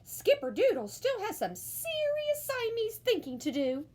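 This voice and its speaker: A woman's voice: very high-pitched, wildly swooping pitch, a little slow, a little mellow, a little hiss or hum, voice pushed up, full character voice. A woman voicing a bossy, scolding but affectionate mother cat from a storybook, commanding and emphatic. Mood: firm.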